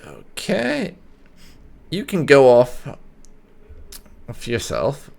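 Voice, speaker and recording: A man hosting a casual let's play with light roleplay, explaining things in a wordy, measured way. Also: mid-range voice, wildly swooping pitch, creaky, darkish tone. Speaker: gaming YouTuber, let's play host.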